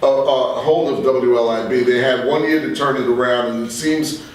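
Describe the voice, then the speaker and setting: A man's voice: mid-range voice, fairly even intonation, normal speed. Older man reminiscing in a very echoey room. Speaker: interview guest, radio veteran. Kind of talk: conversational reminiscing. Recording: very echoey room, distant microphone.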